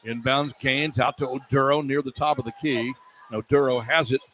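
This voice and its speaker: A man's voice: mid-range voice, fairly even intonation, normal speed, a bit bright. A man calling live basketball on the radio as play-by-play announcer, describing the action in clipped running phrases. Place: indoor sports arena. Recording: live arena broadcast with background noise.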